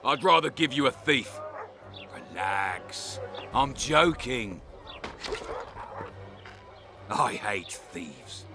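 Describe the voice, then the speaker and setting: An actor playing a menacing, self-important town commander in a fantasy video game, pompous and mocking. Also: male voice, mid-range voice, sing-song, slow, over music. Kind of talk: cinematic fantasy game acting.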